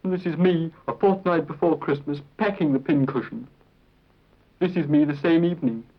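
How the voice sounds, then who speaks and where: Rueful, higher-voiced British nephew. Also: male voice, moderate pace. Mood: rueful, dry. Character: rueful, deadpan nephew who lost his aunt's inheritance. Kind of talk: scripted first-person film narration, 1940s. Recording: dull vintage film soundtrack.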